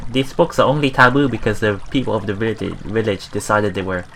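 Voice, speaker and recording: A man hosting a let's play, reading game text aloud and adding halting, musing casual commentary, with water sounds behind him. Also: fairly low pitch, fairly even intonation, creaky, fairly bright tone, slight background noise.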